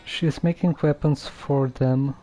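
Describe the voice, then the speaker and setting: A game streamer giving casual live commentary in a hesitant, halting, subdued way. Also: medium pitch, steady tone, music in the background.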